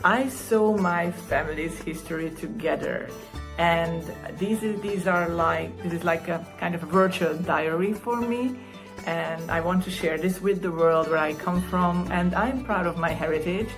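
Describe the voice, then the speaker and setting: A woman from Hungary, giving a calm, measured introduction to her own collage work. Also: lowish voice. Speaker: collage artist. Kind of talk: prepared video introduction. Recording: music bed under the voice.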